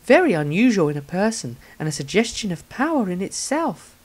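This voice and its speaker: Fantasy audiobook female diarist, played by a man: lifted pitch, gentle, reflective, measured.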